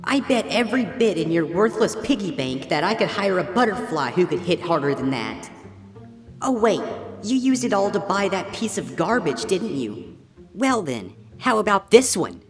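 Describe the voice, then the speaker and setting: A man's voice: high voice, big pitch swings, moderate pace, reverberant, in a large hall, faint music behind, pitched above the performer's own voice. A man voicing a snobbish young girl pony bully, taunting and haughty, in a dramatic story reading. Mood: mocking. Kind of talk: dramatic fan fiction character reading.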